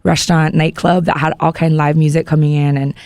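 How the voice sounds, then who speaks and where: A woman's voice: low-pitched, little pitch movement, fast talker, a little mellow, dry recording, clean studio sound. A woman in her thirties from Maui, Hawaii, a singer-songwriter guest chatting in a laid-back, playful way with frequent giggles. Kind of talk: casual interview.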